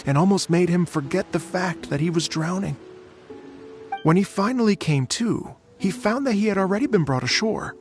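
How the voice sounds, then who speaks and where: An actor playing an earnest, tired middle-aged bard in a video game, telling a legend in a measured storytelling manner. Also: male voice, a little high. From Fontaine.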